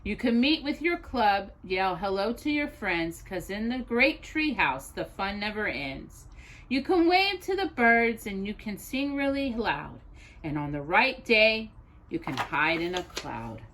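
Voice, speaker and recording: A woman reading rhyming children's poems aloud as a storytime host, in an expressive sing-song way, as if to a child. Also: medium pitch, unhurried, low-quality recording.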